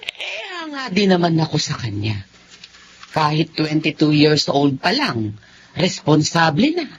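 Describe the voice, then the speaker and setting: Animated, fussing Filipino older sister. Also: female voice, low-pitched, a little slow, creaky, dull audio, a little hiss or hum, full character voice. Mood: teasing, concerned. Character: caring, scolding older sister, middle-aged woman. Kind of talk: Filipino radio drama acting.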